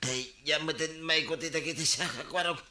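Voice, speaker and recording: A man's voice: a little high, fairly even intonation, brisk, bright, forceful tone, roomy sound. A man playing a bumbling, drunken tricycle-riding husband with a whiny, protesting manner in a comedic radio drama. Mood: panicked. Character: excitable drunken tricycle rider, bumbling husband pleading with wife.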